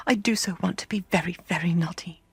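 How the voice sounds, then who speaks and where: A woman's voice: on the low side, quick pace, creaky, slightly rough, no room sound, full character voice. A woman voicing earnest, tearful little girls in a storybook, pleading and insistent. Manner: sobbing, beseeching.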